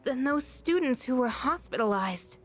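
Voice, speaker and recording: A woman playing an outspoken, suspicious high school girl in a video game, speaking in a pointed, emotional way. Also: lively intonation, normal speed, soft background music. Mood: uneasy, probing. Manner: pointed, questioning, indignant.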